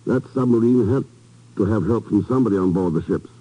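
An actor playing a calm, dry, matter-of-fact secret agent in a 1950s radio drama. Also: male voice, lowish voice, brisk, dull audio, close to an everyday voice. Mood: businesslike.

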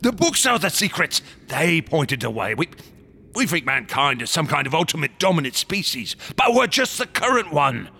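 An actor in a radio drama playing a fanatical, temperamental artist, rambling and stammering with feverish intensity in a gravelly, raspy voice. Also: male voice, a little high, big pitch swings, moderate pace, bright, forceful tone, exaggerated voice. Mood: feverish zeal. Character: fanatical, temperamental male artist of monstrous museum exhibits.

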